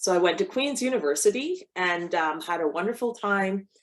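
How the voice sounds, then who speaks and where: A woman's voice: medium pitch, animated, normal speed. A middle-aged woman from Barbados, a cancer biologist speaking to an audience in a conversational way, with restarts. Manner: conversational, with frequent restarts and fillers. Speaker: cancer biologist giving a talk. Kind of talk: personal talk to an audience.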